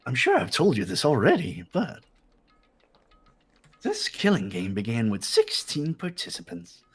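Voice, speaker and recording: A performer as a gravelly, smug villain mascot. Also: mid-range voice, wildly swooping pitch, measured pace, soft background music, exaggerated voice. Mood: smug, teasing. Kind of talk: fan voice acting of a visual novel game.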